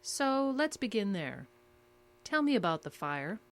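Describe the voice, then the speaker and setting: Female interrogator, medium pitch, measured, cryptic, coolly insistent, audio drama. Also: big pitch swings, heavy background noise. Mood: cool, probing. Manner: measured, cryptic, clipped questioning.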